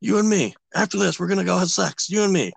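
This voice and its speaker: A hoarse man putting on the voice of an eager, lovestruck young man who insists. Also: sits high, fast, no echo, pitched above the performer's own voice, exaggerated voice.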